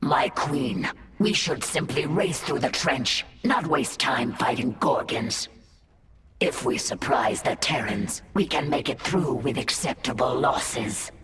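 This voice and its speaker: An actress playing a young, fierce alien brood mother who speaks slowly and earnestly in a game cutscene. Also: rumbling low, fairly bright tone, full character voice. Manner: slow, earnest, questioning.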